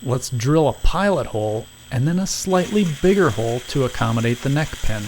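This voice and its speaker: A man narrates a craft tutorial as a scripted voice-over at a middling pitch. His wording is wry and mock-grand, and there is faint noise behind him. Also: animated, fairly quick, rough recording. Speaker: host and craftsman.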